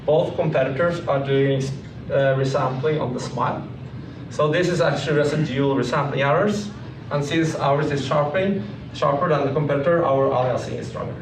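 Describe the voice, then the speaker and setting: Man, slightly high voice, halting technical presenter talking through slides; echoey room with clear noise. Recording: echoey room, distant mic, clearly noisy.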